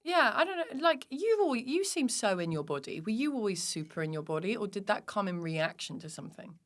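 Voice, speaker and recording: Woman, comic and physical performer, mid-range voice. Animated, self-deprecating guest in loose, casual podcast conversation. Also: mid-range voice, brisk.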